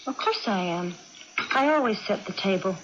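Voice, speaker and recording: An actress playing a prim, dutiful young daughter in a radio drama, with a curt, contradicting, matter-of-fact manner. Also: female voice, mid-range voice, lively intonation, moderate pace, natural voice. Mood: indignant. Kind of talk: 1980s radio drama acting. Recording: dull, noisy vintage radio recording.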